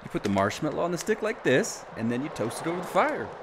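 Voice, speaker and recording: A man's voice: a little high, very animated, quick pace, noisy background. An actor playing a folksy, affable man camping in his RV, making genial, neighborly small talk in a radio drama. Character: folksy, affable male RV camper.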